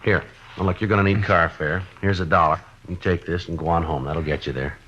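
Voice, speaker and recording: An actor in a 1950s radio drama playing a terse, patient, level-headed American police sergeant with a laconic, deadpan delivery. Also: male voice, sits low, a little fast, a little hiss or hum. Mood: kindly, practical. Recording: dull, band-limited old radio recording.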